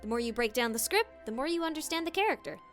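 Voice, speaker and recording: Casting: female tutorial host, high-pitched, playful and theatrical, lively swings in intonation. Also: quick pace, faint music behind. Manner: animated, theatrical emphasis. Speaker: voice actor and video host. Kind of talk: scripted, playful tutorial narration.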